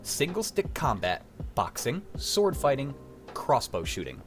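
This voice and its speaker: A man's voice: mid-range voice, wildly swooping pitch, unhurried, faint music behind. A man hosting a casual quiz in a joking, crude manner. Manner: crude, jokey. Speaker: quiz host.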